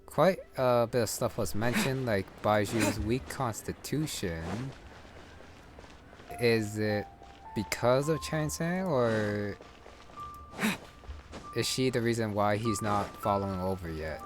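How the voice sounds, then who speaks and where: A man's voice: lowish voice, slow, pauses often, music in the background. A man giving casual commentary over a game, thinking aloud and musing as he plays. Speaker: gaming channel host.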